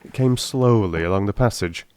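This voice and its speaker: A man narrating an audiobook in a dramatic, measured, literary storytelling manner. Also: on the low side, a little mellow, clear, resonant tone.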